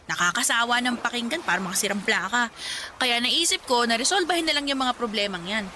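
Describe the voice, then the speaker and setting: An actress playing a playful, scheming, upbeat young friend in a radio drama, with a teasing, breezy manner. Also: female voice, on the high side, animated, a little fast, background noise, close to an everyday voice. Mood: teasing, confident.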